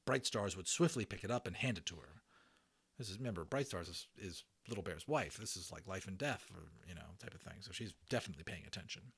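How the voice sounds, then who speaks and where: A man narrating a children's audiobook as an amateur voice actor, expressive and dramatic, in a storytelling way. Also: sits low, fast, breathy edge.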